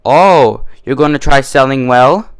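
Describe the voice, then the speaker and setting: A man's voice: medium pitch, measured pace, clean tone, pitched above the performer's own voice, natural voice. A man voicing a teasing, argumentative female carrot-farmer pony in a cartoon fan-fiction reading. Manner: needling, argumentative.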